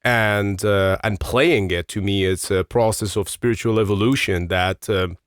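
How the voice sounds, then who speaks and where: A man's voice: fairly low pitch, steady tone, normal speed, clear, resonant tone, no room sound, studio quality. A man hosting a podcast, whose first language is Farsi, talking in a thoughtful, rambling way with many fillers. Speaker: podcast host. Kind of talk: casual conversation and read promo.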